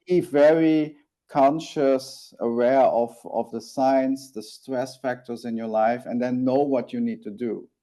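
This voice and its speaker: A man in his forties from Belgium, a native Dutch speaker, talks through his story in an interview. His voice is mellow and warm, at a middling pitch. He speaks earnestly and haltingly, often restarting his sentences. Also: steady tone, poor audio. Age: 40s. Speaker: guest.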